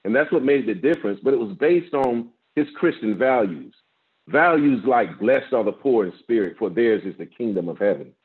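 Man, 50s, pastor, sermon, strong voice, middle pitch, narrow tinny video-call audio. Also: expressive. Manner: measured preaching, rising with emphasis, repeats words. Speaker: guest pastor. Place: office with framed certificates, indoors. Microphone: video call, laptop camera.

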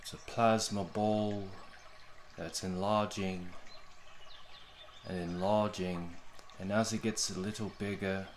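Slow, low-voiced man guiding meditation. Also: level tone, slight background noise. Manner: gentle, unhurried, with long pauses between short phrases. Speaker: meditation guide. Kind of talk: guided meditation, soothing narration.

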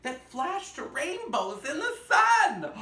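A man hosting storytime in drag, reading a picture book aloud theatrically with dramatic gasps, drawn-out words and playful asides. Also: extremely high pitch, very animated, vocal fry, pushed and bright. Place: indoor room with fabric backdrop. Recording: roomy echo, some background noise.

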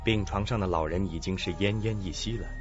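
A man's voice: sits low, fairly quick. A man narrating a scripted suspense radio drama as storyteller. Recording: dull lo-fi audio with faint music.

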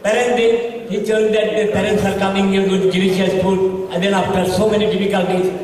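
Man, Bangladeshi English accent, middle-aged, earnest judge's feedback, halting with fillers, audience murmur, long hall reverb. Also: high voice. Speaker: competition judge. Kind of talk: spoken feedback at a podium. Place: large hall, indoors. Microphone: podium microphone, filmed from far. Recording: echoey hall recorded from far off, audience noise.

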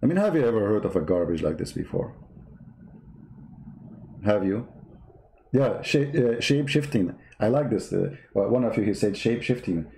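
A man's voice: fairly low pitch, animated, normal speed, pauses often, dark tone, roomy sound. A man whose first language is not English, mocking a played clip in sarcastic commentary. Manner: sarcastic, mocking, repeats words. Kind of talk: mocking commentary over clips.

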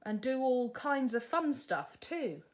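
A woman's voice: high-pitched, sing-song, slow pace, pitched above the performer's own voice, full character voice. A woman voicing a bold, impatient young storybook princess in a cheeky, exclamatory way. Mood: hopeful. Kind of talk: storybook character reading.

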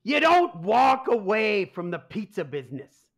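A man's voice: high voice, sing-song, a little slow, a bit bright, exaggerated voice. A man playing an anxious, exasperated mob boss and father in a comedic radio play. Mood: dramatic. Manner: exasperated, blustering. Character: harried, anxious mob boss father who secretly runs pizzeria.

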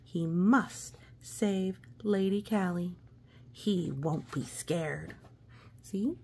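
A woman reads a picture book to young children, slightly low and mellow in tone. Her delivery is unhurried and expressive, often softening toward a hush, with little asides to the listener. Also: creaky, husky. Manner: expressive, hushed suspense, often dropping to a whisper. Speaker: storybook reader. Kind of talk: children's read-aloud with gentle asides.

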